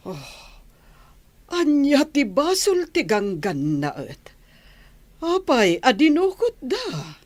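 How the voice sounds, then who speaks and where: A woman's voice: high-pitched, wildly swooping pitch, slow, pauses often, darkish tone, no echo, exaggerated voice. A woman acting a grave, concerned noblewoman and mother in an Ilocano radio drama, recorded with noise behind her.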